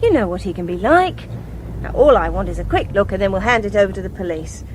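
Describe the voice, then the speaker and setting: An actress with a British accent playing a determined, curious woman with a brisk, coaxing manner in a radio drama. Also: medium pitch, lively intonation, a little hiss or hum, natural voice, low-quality recording. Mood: determined, insistent. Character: determined, curious, bossy amateur sleuth woman named Jen.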